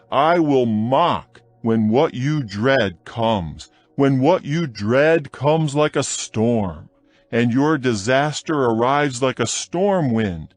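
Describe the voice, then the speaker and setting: A man narrating scripture as a dramatized audiobook, solemn and deliberate, with emphatic delivery. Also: medium pitch, dry recording.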